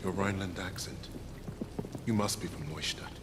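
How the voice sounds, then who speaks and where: An actor playing a proud, stoic British soldier held captive in a video game, restrained and quietly defiant with a British accent. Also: male voice, low voice, fairly even intonation, moderate pace, natural voice. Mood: cold defiance. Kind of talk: cinematic video game acting. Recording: rain and heavy ambient noise.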